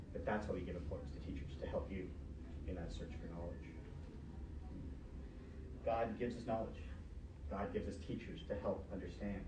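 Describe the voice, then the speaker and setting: Low male voice, middle-aged, Urdu native; relaxed lecture-style sermon through a face mask, roomy and noisy. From Pakistan, grew up in Canada. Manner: conversational, many fillers and restarts. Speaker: professor giving a Friday sermon. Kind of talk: university Friday sermon. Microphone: speaking through a face mask. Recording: echoey room, mic far off, noisy background.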